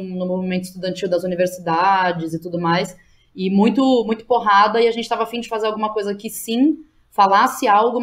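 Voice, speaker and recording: A woman with a São Paulo Brazilian accent talking informally and slangily as a podcast guest, thinking aloud and often checking in with the listener. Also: mid-range voice. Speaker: interview guest, playwright and theatre educator. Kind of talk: casual podcast interview.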